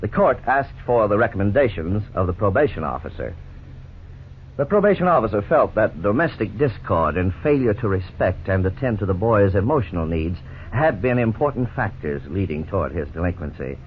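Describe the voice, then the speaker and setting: A middle-aged man playing a lawyer who narrates and explains the case in a measured, formal way, in 1950s radio drama style. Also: on the low side, big pitch swings. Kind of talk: scripted narration. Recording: scratchy 1950s radio broadcast, tinny, faint hiss.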